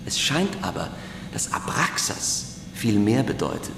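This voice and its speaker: An actor playing a learned classics teacher, lecturing in a formal, didactic way. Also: male voice, lowish voice, very animated, measured pace, creaky, exaggerated voice. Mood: scholarly. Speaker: schoolteacher. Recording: echoey room, faint noise.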